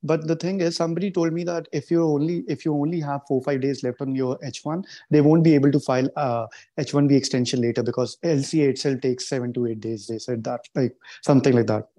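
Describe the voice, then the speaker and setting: A mellow male caller with a mid-range pitch asks for advice. He is deferential and hesitant, circling back over his own words. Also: fairly even intonation, fast, few pauses. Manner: hesitant, deferential, repeats himself.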